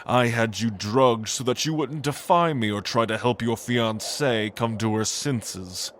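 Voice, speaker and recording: Man acting slow, grandiose villainous angel lord. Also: medium pitch, fairly bright tone, slight background noise, lightly acted. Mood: smug, cold. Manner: grandiose, condescending, deliberate. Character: cold, manipulative angel lord, scheming villainous father. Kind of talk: fantasy audio drama acting.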